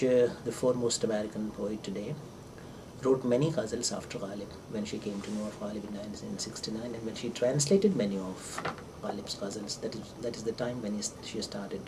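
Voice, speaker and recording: An older man with an Indian accent and Urdu as his first language, giving an informal literary lecture in deliberate phrases with hesitations and repetitions. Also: fairly low pitch, steady tone. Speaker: English professor, visiting scholar. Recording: distant microphone with clear background noise.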